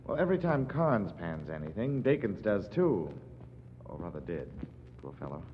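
An actor playing an affable, slightly wary theater producer, chatty and wry, in a 1940s radio drama. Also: male voice, deep voice, big pitch swings, moderate pace. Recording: echoing, muffled 1940s radio recording with hiss.

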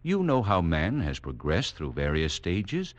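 Radio play casting: a very low-voiced superhuman doctor, patronizing and assured, with hugely sweeping melodic delivery. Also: male voice, huge pitch swings, lo-fi sound, full character voice. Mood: calm, condescending. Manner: condescending, lofty, measured, didactic. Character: serenely superior mutant doctor, next stage of man. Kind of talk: 1940s radio acting.

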